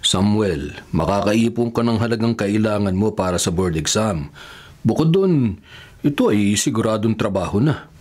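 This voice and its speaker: An actor playing an insistent middle-aged father with a husky voice, persuading his son in a radio drama. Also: fairly low pitch, animated, moderate pace, a little mellow. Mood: insistent, persuasive. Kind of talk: Filipino radio drama acting.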